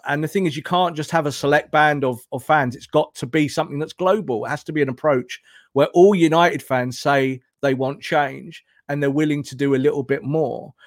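A man's voice: on the high side, no echo, clean studio sound. A man talking as a football pundit in an impassioned, opinionated discussion, emphatic and full of rhetorical questions and repetition.

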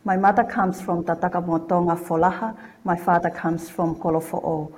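A woman's voice: on the low side, fairly even intonation, moderate pace, a little mellow. A woman from Tonga, a formal and gracious election candidate.

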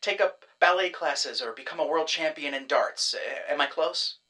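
A man's voice: moderate pace, sharp tone. An actor playing a glib, self-satisfied man who kidnaps clients for a death-faking service, talking like a salesman. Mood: smug. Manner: glib salesman pitch, chatty and pleased with himself. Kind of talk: audio drama acting.

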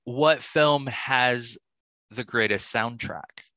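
A male podcast host with a very bright, forward voice in a dry, close recording. He takes his time, asking casual questions in a measured, encouraging way. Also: mid-range voice, no room sound.

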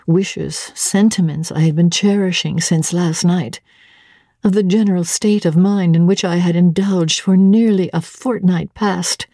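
A woman narrating classic literature as a dramatic audiobook, with theatrical, deliberate phrasing. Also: lowish voice, warm tone, no room sound, clean studio sound.

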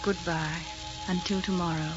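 A woman's voice: lowish voice, steady tone, moderate pace, loud music behind the voice, close to an everyday voice. Woman acting a refined, guarded noble lady, muffled. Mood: polite, reserved. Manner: formal, refined, reserved. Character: demure, guarded aristocratic young lady being courted. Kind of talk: 1940s romantic radio drama acting. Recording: muffled old 1940s radio recording.

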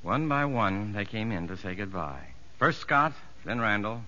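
Kindly, measured psychologist, animated mid-range voice, dull vintage radio sound. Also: male voice, lowish voice. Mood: reflective. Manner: measured, well-spoken. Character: kindly, sincere prison psychologist looking back. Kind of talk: 1950s radio drama acting and narration.

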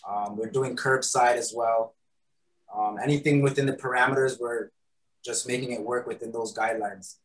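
A man's voice: mid-range voice, fairly even intonation, moderate pace, rough recording. Easygoing man chatting. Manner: loose and conversational, many fillers and "right?". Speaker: guest, patty shop founder. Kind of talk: casual interview answers. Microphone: recording studio mic.

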